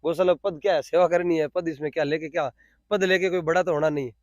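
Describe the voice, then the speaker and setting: A man with a Rajasthani accent speaks in a high voice with very little echo around it. He explains himself off the cuff as an interviewee, often restating a phrase to press the point. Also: fairly even intonation, fast, darkish tone, highs cut off. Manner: repeats phrases for emphasis. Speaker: interviewee, cow shelter volunteer. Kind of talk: spontaneous explanatory interview answers.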